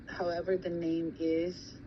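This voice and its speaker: A woman in her thirties, born in Thailand and raised in California, answering interview questions slowly, with frequent pauses and ums. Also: lowish voice. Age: 30s. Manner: hesitant, many pauses and ums. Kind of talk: casual recorded interview. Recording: muffled with steady background noise.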